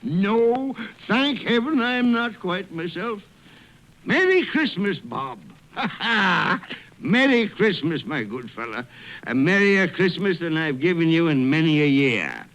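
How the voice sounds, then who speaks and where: An elderly reformed miser in a radio drama, his voice leaping wildly from high to low. He takes his words slowly and theatrically, exclaiming with giddy delight. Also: big pitch swings, a bit bright, highs cut off, full character voice. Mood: merry, generous. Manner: exclamatory, chuckling, swooping delivery.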